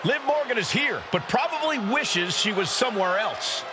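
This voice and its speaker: An actor playing a dramatic wrestling commentator calling a match in a video game. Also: male voice, high-pitched, expressive, moderate pace. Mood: dramatic, hyped. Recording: loud arena crowd and noise.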